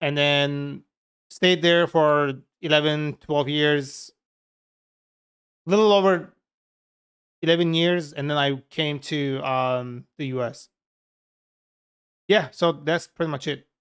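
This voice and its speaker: A man from Turkey with a Turkish accent, a software developer chatting frankly and with profanity to his viewers while coding live. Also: a little high, steady tone, slow, long pauses, smooth. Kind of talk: casual live coding stream chat.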